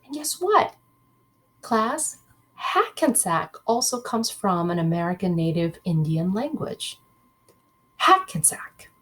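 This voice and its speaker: A woman's voice: mid-range voice, very animated, slow pace, a bit bright. A woman teacher reading a children's book aloud, expressively, breaking off to question her pupils directly.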